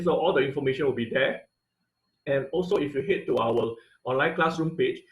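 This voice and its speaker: A man's voice: medium pitch, roomy sound, rough recording. A man teaching an online robotics class over slides, with many hesitant fillers in his speech.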